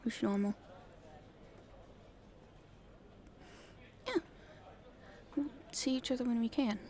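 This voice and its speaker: A woman's voice: a little high, normal speed, warm tone, lightly acted. A woman playing a casual, friendly young street urchin girl in an audio roleplay, speaking hesitantly and informally. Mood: reluctant. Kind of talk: roleplay ASMR acting.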